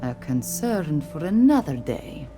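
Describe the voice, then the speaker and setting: A woman voicing a weary, regal cat-folk warrior queen in a video game, speaking formally and earnestly in measured phrases. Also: deep voice, very animated. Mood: earnest, solemn. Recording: game music behind the voice.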